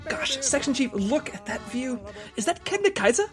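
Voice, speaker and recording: A woman playing a wide-eyed, excitable secret agent in an audio drama, with a gushing, chirpy manner. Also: sits high, normal speed, faint music behind.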